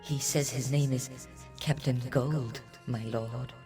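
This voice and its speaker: A man playing a hulking Martian robot turned loyal helper, speaking in halting, clipped broken English in a retro sci-fi audio drama. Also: mid-range voice, fairly even intonation, a little mellow, faint music behind, natural voice. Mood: dutiful report.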